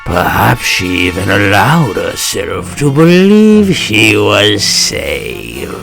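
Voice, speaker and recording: A man voicing a sadistic, theatrical supervillain obsessed with fear, gravelly and menacing, in a comic dub. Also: lowish voice, wildly swooping pitch, loud music behind the voice, heavily acted. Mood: savouring, menacing. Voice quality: gravelly, creaky. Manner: slow, menacing, theatrical, savouring words. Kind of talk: comic dub villain monologue. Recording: orchestral score behind voice.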